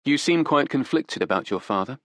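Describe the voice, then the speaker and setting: A man voicing a calm, measured male detective in an audiobook, speaking flat and deliberate. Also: mid-range voice, no room sound, pitched above the performer's own voice, lightly acted. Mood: cool. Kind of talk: audiobook character voice.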